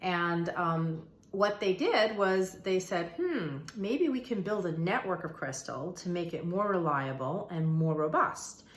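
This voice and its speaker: Lady doing a chatty spiritual explainer, takes her time, lowish voice, kinda roomy sound. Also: expressive, unhurried, hardly any pauses. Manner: conversational, emphatic, repeats phrases for emphasis. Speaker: host of a manifestation and meditation channel. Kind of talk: informal spiritual explainer talk to viewers. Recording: roomy, echoey room sound.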